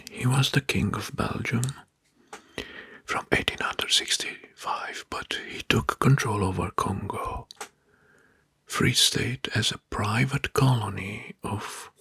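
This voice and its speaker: A man whispering an ASMR history narration in a breathy, mostly unvoiced voice, pausing between short phrases and often repeating words. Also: lowish voice, sharp tone, no echo. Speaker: ASMR host presenting history facts. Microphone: close microphone.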